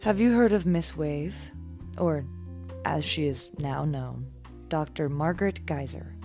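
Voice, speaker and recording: A low female voice from an actress as a weary vigilante superhero. She speaks slowly and guardedly, with reserve, as in an audio drama. Music plays underneath. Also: dark tone. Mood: serious, weary.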